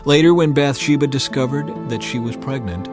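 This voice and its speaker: A man narrates an ancient sacred story in a measured, solemn storytelling style. His voice sits at a medium pitch for a man. Music plays faintly underneath the reading. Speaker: audiobook narrator. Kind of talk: dramatized scripted narration of scripture.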